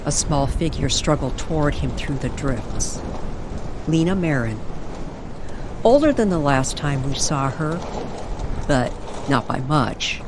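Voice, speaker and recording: A woman narrating a scripted atmospheric story in a measured way, with dramatic pauses. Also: deep voice, expressive, background noise.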